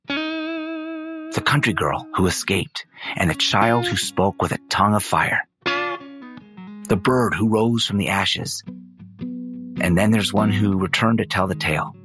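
An actor playing a musing male storyteller who narrates a legend in a folksy, dramatic way with deliberate pauses. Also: fairly low pitch. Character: musing storyteller narrator, a man picking guitar. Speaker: narrator and guide.

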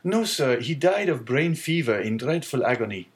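A man's voice: medium pitch, very animated, normal speed, pitched above the performer's own voice, lightly acted. A man voicing an earnest, resolute young sailor who speaks with sorrow, in an audiobook reading. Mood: sorrowful.

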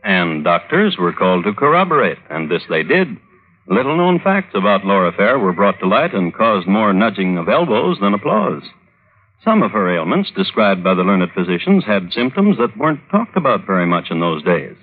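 An actor playing a wry storyteller, narrating an old-time radio drama with dry, mock-solemn delivery. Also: male voice, fairly low pitch, very animated, moderate pace. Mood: droll, dry. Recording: muffled, narrow-band old radio recording.

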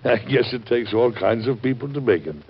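A man playing a weary, principled middle-aged president in a historical radio drama, speaking formally, firmly and with measured delivery. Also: mid-range voice, animated, breathy edge. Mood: dry politeness. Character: weary, principled American president, stern and exacting. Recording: dull lo-fi vintage radio recording.

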